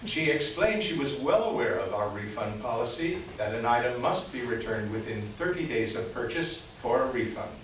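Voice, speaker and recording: A man's voice: medium pitch, animated, moderate pace, few pauses, close to an everyday voice. A man playing a smug, officious middle-aged complaints officer, reading his formal, condescending letter aloud in staged reader's theater. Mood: pompous formality. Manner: formal, pompous, pointedly condescending. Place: indoor stage presentation before an audience. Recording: echoey hall from far off, dull, faint hiss.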